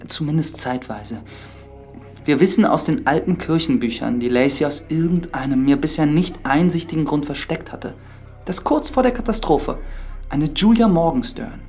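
A man's voice: mid-range voice, a little mellow, lightly acted. A man as an earnest young priest, faint music behind. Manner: courteous, earnest, explanatory. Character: earnest, polite younger priest turned investigator. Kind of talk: German radio drama acting. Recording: studio radio drama with music underneath.

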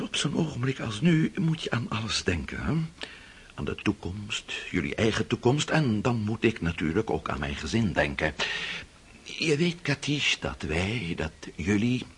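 An actor playing a scheming, impatient older prince in a radio drama, coaxing and condescending with a rough, hoarse voice. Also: male voice, on the low side, slight background noise. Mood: weary, coaxing.

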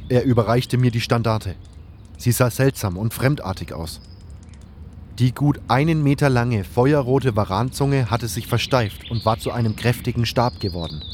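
Erzähler mit Vogelgezwitscher im Hintergrund. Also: male voice, mid-range voice, normal speed, darkish tone, slight background noise. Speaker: narrator and hero of fan audio drama. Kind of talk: scripted first-person story narration.

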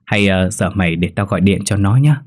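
A man's voice: low voice, brisk. A man voicing a blunt but caring young female student in a horror story, bossy and colloquial, fussing over her roommate. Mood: reassuring.

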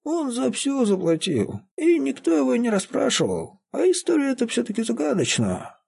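A man voicing a plaintive, tearful, half-deaf old woman caretaker in an audiobook, gossiping in a drawn-out whine. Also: extremely high pitch, huge pitch swings, vocal fry, mellow, slightly rough, voice pushed up, full character voice. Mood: plaintive, matter-of-fact. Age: elderly. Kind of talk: audiobook character voice.

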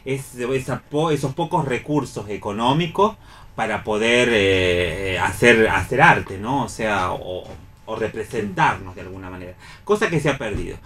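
A man's voice: lowish voice, lively intonation, moderate pace, a bit bright. Argentine man in reflective radio discussion. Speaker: radio panelist.

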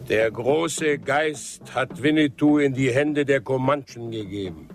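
A man's voice: medium pitch, a little slow, natural voice. An actor playing a proud, scornful Comanche chief and father in solemn, declamatory radio drama oratory. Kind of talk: German radio drama acting. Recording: studio radio drama with drum music behind.

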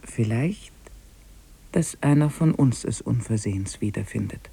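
Man, storyteller, medium pitch, dark husky tone, scripted literary narration, vintage radio drama. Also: expressive, measured pace. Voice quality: husky, mellow. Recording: old radio play on cassette tape.

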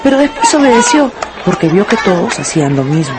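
A woman narrator reading a scripted radio story in an expressive, dramatic storytelling manner. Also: on the low side, brisk. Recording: loud music and crowd effects behind.

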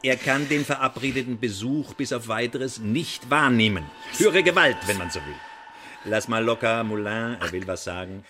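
An actor in a German radio play playing a menacing, smug secret agent who interrogates with pedantic, sardonic mock politeness. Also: male voice, mid-range voice, normal speed, music in the background, close to an everyday voice. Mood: mock-polite menace.

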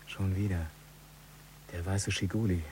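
Edgy low-voiced gangster. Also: male voice, little pitch movement, unhurried, background noise. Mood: worried, sceptical. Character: edgy, wary male gangster with rough slang. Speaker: actor in a radio crime drama. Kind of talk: German radio play dialogue.

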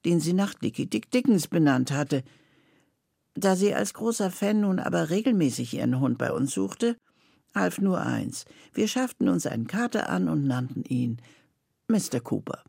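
An older woman presenting a scripted introduction with a personal anecdote, husky and creaky in voice. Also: low voice, very animated, unhurried, dark tone, no room sound, clean studio sound.

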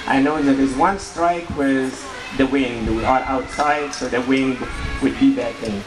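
A man with an Egyptian accent, a dancer explaining his dance to an outdoor audience in a halting way. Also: mid-range voice, steady tone, roomy sound, poor audio. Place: outdoor festival stage.

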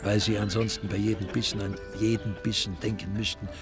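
A man's voice: lowish voice, level tone, a little fast. A man with an Austrian accent narrating a scripted radio crime drama in a dry, wry way. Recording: music behind the narration.

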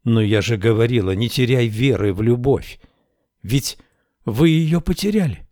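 A man reading a storybook voices a proud, sharp-tongued woman ruler who answers in curt, scornful retorts. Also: mid-range voice, lively intonation, moderate pace, no echo, clean studio sound, close to an everyday voice.

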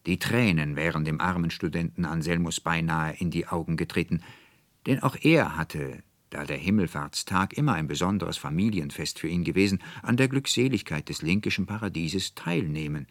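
A man narrating a literary audiobook as an expressive, measured storyteller, recorded in a studio. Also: deep voice, no echo. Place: dry studio.

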